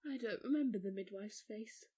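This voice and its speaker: An actress playing a grieving new mother in an audio drama monologue, halting and emotionally charged as she recounts her labour. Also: medium pitch, warm tone, natural voice. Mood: sorrowful. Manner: halting, breathy, emotionally charged delivery in short phrases.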